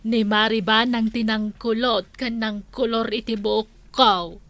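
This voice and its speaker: Female village shopkeeper played by an actress, comedy drama: friendly chatterer, high pitch, crisp bright tone. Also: steady tone, a little slow, no room sound. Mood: friendly chatting.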